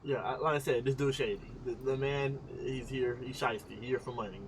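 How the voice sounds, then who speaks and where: A man's voice: mid-range voice, fairly even intonation, brisk, slight background noise, poor audio. Slangy American man streaming a game. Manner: slangy, informal, teasing. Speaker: streamer reacting to a video game. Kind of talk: casual gameplay commentary. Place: room at home.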